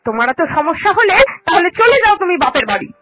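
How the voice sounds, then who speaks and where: A stern older mother-in-law voiced by a woman for a cartoon, high and piercingly bright. She scolds defensively, her pitch moving up and down a great deal. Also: big pitch swings, fast, no echo, full character voice. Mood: defensive, scolding. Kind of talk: cartoon voice acting.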